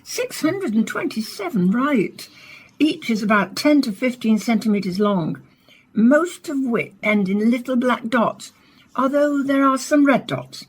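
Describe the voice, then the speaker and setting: An actress playing a composed, courteous radio science presenter in a radio play, speaking in a polished, formal way. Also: female voice, a little high, lively intonation, measured pace, husky. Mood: welcoming, composed. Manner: polished, formal, carefully phrased. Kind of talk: scripted radio play, science broadcast delivery.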